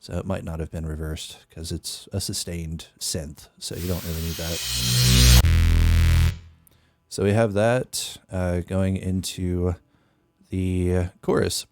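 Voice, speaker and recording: A young man with a very deep voice, chatting casually while working on music in a small bedroom studio, thinking aloud with lots of fillers. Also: level tone, measured pace, a little mellow. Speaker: music producer streamer. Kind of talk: casual live-stream talk. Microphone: studio mic on boom arm, headphones.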